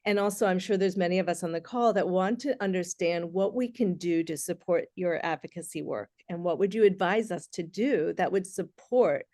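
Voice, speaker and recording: A woman moderating a video call discussion with a slightly low voice. She asks long, careful questions, and her sound is dry and close. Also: normal speed, few pauses, dark tone, no echo.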